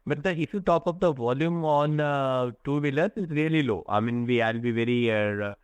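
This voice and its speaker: A man, a company executive explaining results to analysts in a halting, candid way. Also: medium pitch, clear, resonant tone, no echo. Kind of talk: earnings call answers.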